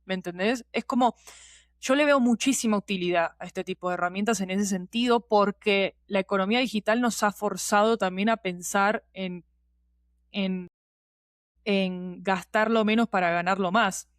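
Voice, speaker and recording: A woman's voice: medium pitch, normal speed, dry recording, studio quality. A woman with a Rioplatense Spanish accent giving her opinions as a podcast guest in casual, slangy conversation. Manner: informal, slangy, with frequent conversational tags. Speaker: podcast guest, audio fiction producer.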